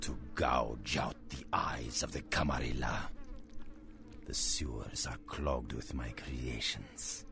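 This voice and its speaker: An actor playing an ancient, cultured vampire monster in a video game, speaking with theatrical menace, formal archaic phrasing and a breathy, whispering rasp. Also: male voice, a bass voice, takes it slowly, noisy background, full character voice. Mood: cold menace.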